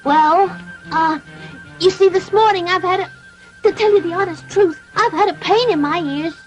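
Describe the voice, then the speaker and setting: A woman playing a naive, polite, excitable little puppet boy in a dubbed classic cartoon, earnest and exclamatory. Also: extremely high pitch, moderate pace, muffled recording, soft background music. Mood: hesitant, nervous. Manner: earnest, exclamatory, formal phrasing.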